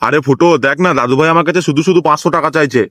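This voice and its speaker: Man voicing a high-pitched, rapid-fire grumpy cartoon grandpa. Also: fairly bright tone, dry recording, close to an everyday voice. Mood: coaxing. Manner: scolding, blustering. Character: irascible foul-mouthed old cartoon grandfather. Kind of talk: comic cartoon dubbing.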